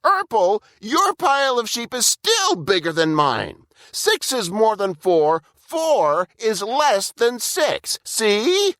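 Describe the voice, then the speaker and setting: A man's voice: high voice, huge pitch swings, slow pace, few pauses, a bit bright, no echo, studio quality, pitched above the performer's own voice, exaggerated voice. A man voicing a whiny, indignant younger brother monster for a children's storybook, nagging and scolding. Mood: insistent whining. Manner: whining, scolding.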